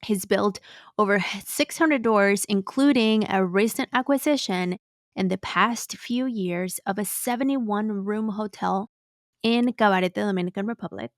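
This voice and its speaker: A woman hosts an interview podcast in a mid-pitched voice. She slips some Spanish phrases into her English. The sound is very dry, with no room to it. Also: unhurried, no room sound. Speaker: podcast host.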